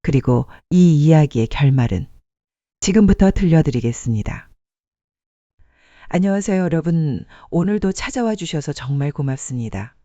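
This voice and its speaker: Earnest narrator for a dramatic life story, soft warm tone, very up and down delivery, dry close sound. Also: mid-range voice, no room sound, highs cut off. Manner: earnest storytelling with rhetorical questions.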